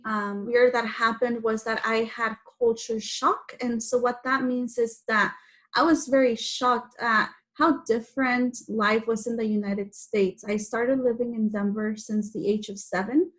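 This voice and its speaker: A woman's voice: on the high side, level tone, unhurried, a bit bright. A woman from Guatemala whose first language is Spanish, telling her personal story to children in simple, explanatory phrases. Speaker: guest speaker telling personal story to schoolchildren.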